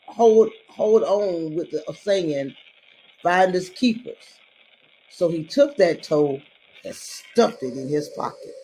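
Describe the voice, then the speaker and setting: Slow, expressive woman reading a story aloud. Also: fairly low pitch, low-quality recording. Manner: deliberate, expressive, drawn-out phrasing. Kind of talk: dramatic read-aloud narration for children.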